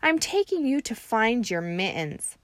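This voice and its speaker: A woman voicing a calm, kind female schoolteacher in a storybook, speaking gently and reassuringly. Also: fairly high pitch, measured pace, no echo.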